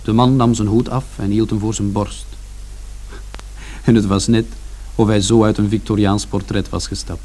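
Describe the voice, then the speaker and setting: An actor playing a reflective son who narrates a bleak family story in a radio drama. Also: male voice, fairly low pitch, flat delivery, moderate pace, a little mellow, a little hiss or hum, lightly acted. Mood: matter-of-fact, sombre. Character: George, reflective younger son recalling his family's bleak Saturday. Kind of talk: scripted first-person narration in radio drama.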